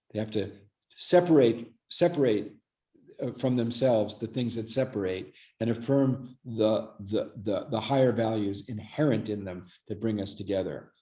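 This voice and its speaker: A man giving earnest, oratorical remarks as a guest expert, solemn and deliberate, repeating words for emphasis. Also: fairly low pitch, dark tone. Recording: echoey room.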